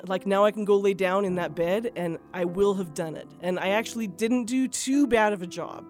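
A woman chats through a personal story in a casual, modest way, a little tentative. Her voice sits at a middle pitch, with plucked guitar music clearly playing behind it. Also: normal speed. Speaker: interview guest telling a personal story.

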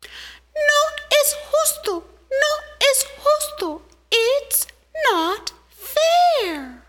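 A tiny female ant with a squeaky, shrill voice, shrieking her outrage in a storybook. She shoots way up high and sounds loud and pushy. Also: sing-song, very slow, slight background noise, full character voice. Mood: outraged. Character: tiny indignant female ant, furious and demanding.

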